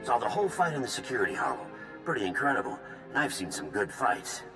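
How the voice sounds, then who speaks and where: A eager soldier sidekick in a sci-fi game. His voice is fairly low and animated, casual and can-do, with music underneath. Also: fast talker. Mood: impressed. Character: eager, admiring male soldier tech sidekick. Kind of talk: scripted video game acting. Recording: music behind the voice.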